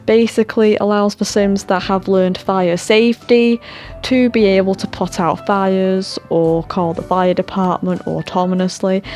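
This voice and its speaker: A woman's voice: mid-range voice, a little mellow. A woman chatting casually and rambling about game mods, her voice tiring and her throat sore. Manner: chatty, self-deprecating, trails off into fillers. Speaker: gaming channel host.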